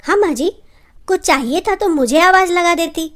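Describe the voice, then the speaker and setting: A woman voicing a young daughter-in-law in an animated family comedy, theatrical and pointed, polite over simmering frustration. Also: very high-pitched, normal speed. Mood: dutifully polite. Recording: loud music bed under the voice.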